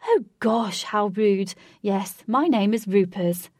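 A woman's voice: mid-range voice, slow pace, a little mellow, no room sound, clean studio sound. A woman voicing a brash, boastful young British man with a posh accent and gushing manner in an audiobook. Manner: gushing, exclamatory. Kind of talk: audiobook character voice.

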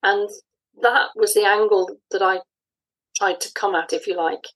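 Woman being interviewed, bit husky, medium pitch, thoughtful, explains stuff with little pauses. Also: steady tone, fairly bright tone, low-quality recording. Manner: thoughtful, explanatory, pausing mid-phrase. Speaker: interview guest, audio drama writer. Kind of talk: conversational interview answers.

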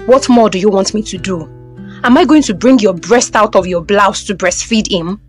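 A woman's voice: mid-range voice, normal speed, soft background music, lightly acted. Woman as sarcastic, dismissive Nigerian husband. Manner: sarcastic, needling.

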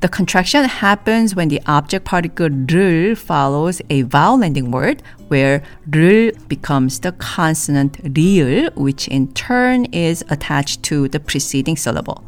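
A woman teaching a language in a scripted podcast lesson, saying model phrases slowly and clearly for listeners to repeat. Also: low voice, lively intonation, faint music behind.

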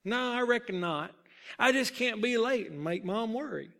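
A man's voice: very high-pitched, lively intonation, moderate pace, vocal fry, fairly bright tone, voice pushed up, heavily acted. A man voicing a humble young boy ballplayer in a storybook, speaking in an earnest, polite, hesitant way.

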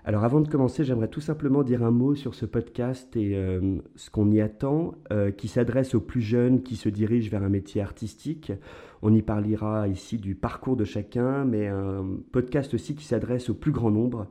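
Soft-spoken man hosting a podcast. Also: fairly low pitch, normal speed. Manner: hesitant, many fillers. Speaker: podcast host. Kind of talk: conversational interview.

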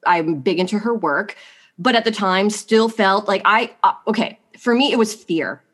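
A woman's voice: mid-range voice, moderate pace, fairly bright tone. A young adult woman, sniffly from allergies, guesting on a podcast and telling her personal story in a rambling way, full of likes and you-knows. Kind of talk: candid personal story in conversation.

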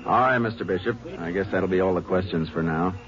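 An actor playing a calm, methodical Texas Ranger who narrates the case in a 1950s radio drama, plain-spoken and steady. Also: male voice, low-pitched, fast talker. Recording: dull lo-fi old radio recording with music.

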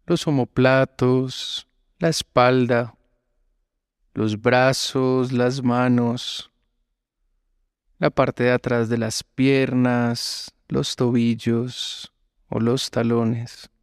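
Slow, smooth-voiced man guiding relaxation. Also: medium pitch, dry recording, studio quality. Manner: calm, measured instructions with long pauses. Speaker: yoga nidra instructor. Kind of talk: slow guided relaxation meditation.

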